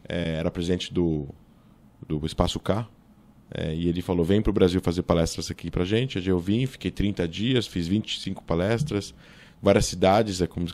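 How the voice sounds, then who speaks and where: A low-voiced Brazilian man from São Paulo, in his thirties, talking as a podcast guest. He rattles through his story fast, full of fillers and self-corrections. He speaks close to a studio mic in a small room. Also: darkish tone. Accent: Brazilian Portuguese, São Paulo. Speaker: guest, political scientist. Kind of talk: casual interview, storytelling. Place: small studio with table and monitor. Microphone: boom-arm studio mic.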